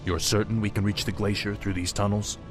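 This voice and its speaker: Game antihero role, male actor: cold death knight, low register, terse and commanding. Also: little pitch movement, brisk, close to an everyday voice. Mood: cold resolve. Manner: curt, cold. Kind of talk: video game cutscene acting. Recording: game audio under loud music.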